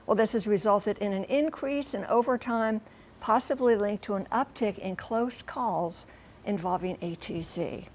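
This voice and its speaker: A woman's voice: mid-range voice, a little slow, darkish tone. An American woman giving a conversational live TV news report as a correspondent, explaining things emphatically in short, clipped phrases. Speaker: TV transportation correspondent and pilot.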